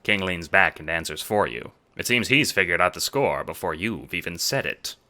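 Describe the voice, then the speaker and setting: A man's voice: low voice, expressive, moderate pace, sharp tone. A man narrating a scripted audio drama in the second person, with dramatic storytelling delivery.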